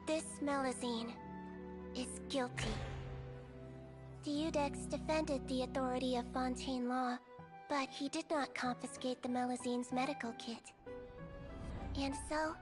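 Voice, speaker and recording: A small, gentle girl doctor with a very high, youthful voice. She speaks earnestly and with measured care, as a video game character. Soft music sits faintly behind her. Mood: solemn storytelling.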